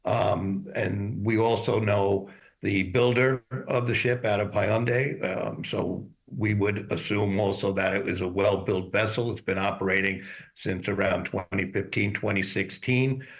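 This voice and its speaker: A mature man giving unscripted expert commentary as a guest in a news interview, explaining in a halting, matter-of-fact way. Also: fairly low pitch, fairly even intonation, poor audio. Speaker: shipping executive as expert guest.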